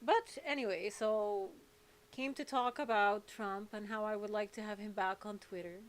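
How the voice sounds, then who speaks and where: A woman's voice: medium pitch, steady tone, normal speed. Rambling, candid woman livestreamer. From born in England, raised in Saudi Arabia. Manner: rambling, candid, blunt, jumping between topics. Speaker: livestream host and activist. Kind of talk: casual livestream chat.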